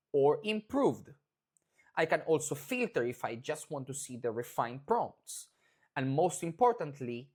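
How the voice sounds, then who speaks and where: An adult from Argentina with an Argentine Spanish accent, giving a casual, enthusiastic and informal walkthrough of software updates. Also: medium pitch. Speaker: product maker presenting software updates. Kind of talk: casual product update demo.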